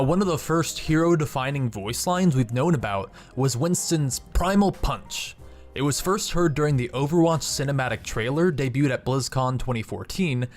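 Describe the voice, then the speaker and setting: A young man hosting a gaming channel, reading scripted video narration. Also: mid-range voice. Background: background music.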